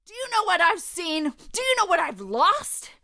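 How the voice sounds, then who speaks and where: A woman's voice: extremely high pitch, sing-song, moderate pace, creaky, full character voice. A woman playing a furious, scornful warrior nun. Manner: shouting, accusing, scornful. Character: furious young battle sister, bitter and scornful. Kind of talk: fan audio drama acting.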